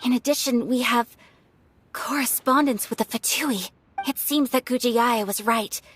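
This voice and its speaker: A woman playing a refined, shrewd young noblewoman in a video game, speaking in a measured, polite and formal way. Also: a little high, faint music behind, lightly acted. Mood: composed.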